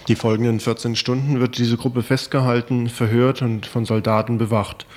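A man's voice: medium pitch, level tone, normal speed, slight background noise. A man narrating a scripted news report as a radio reporter.

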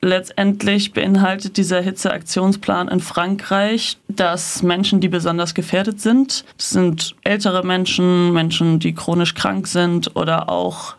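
A female activist giving explanatory answers in a studio interview. Her intonation stays quite flat and her phrasing is loose and informal. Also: on the low side, measured pace, few pauses. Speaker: climate and health activist being interviewed. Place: radio studio.

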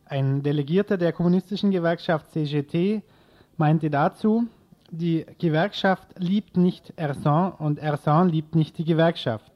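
A male radio reporter with a fairly high-pitched voice reads a prepared news report. The delivery is scripted and informational, built on full written sentences. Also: unhurried, highs cut off.

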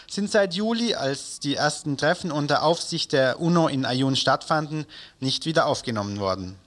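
A man from reading a community radio news bulletin in a studio, measured with the odd slip and self-correction. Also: fairly high pitch. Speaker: news presenter.

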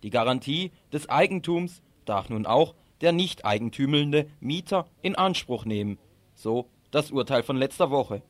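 A man's voice: medium pitch, expressive, measured pace, no room sound. A man reading scripted radio commentary and putting questions as a presenter and interviewer.

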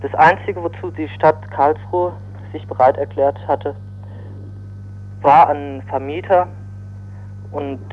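A man reading out a scripted radio news report by telephone, stumbling and halting now and then. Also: a little high. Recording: noisy, humming, narrow telephone line.

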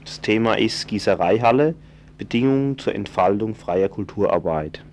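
A man presenting a radio events calendar, reading the listings in an unhurried, hesitant way with fillers and self-corrections. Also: on the low side, darkish tone, a little hiss or hum.

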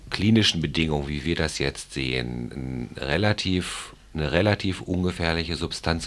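A man speaking as an expert in a discussion, explaining things in a halting, deliberate way and qualifying his points. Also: low voice. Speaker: drug treatment programme clinician.

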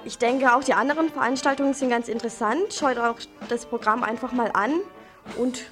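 A woman's voice: a little high, fairly even intonation, brisk, fairly bright tone, faint music behind. A woman presenting local radio news in an informal style, addressing listeners directly.

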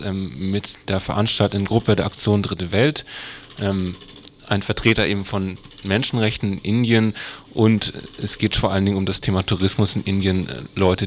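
A man's voice: lowish voice, slight background noise. A man presenting a radio magazine in a loosely scripted style.

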